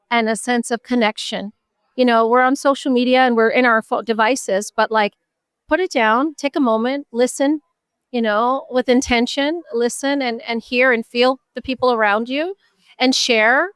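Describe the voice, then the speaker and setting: A woman's voice: fairly high pitch, fairly bright tone, clear, resonant tone, no echo. A middle-aged woman with an American accent speaking earnestly and emphatically as a guest in a conversational interview. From Buffalo, New York, USA. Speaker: interview guest, nonprofit advocate.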